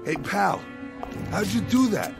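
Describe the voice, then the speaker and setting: A man's voice: high voice, big pitch swings, slow pace, gravelly, over music, heavily acted. An actor playing a shaken, bewildered male bus driver in a radio drama, stammering. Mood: shocked, flustered. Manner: stammering, flustered.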